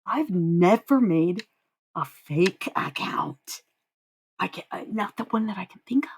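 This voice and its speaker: Gravelly, low-voiced young woman streamer. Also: animated, unhurried. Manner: rambling, dreamy, swears freely. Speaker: livestreamer. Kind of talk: casual livestream chat with viewers.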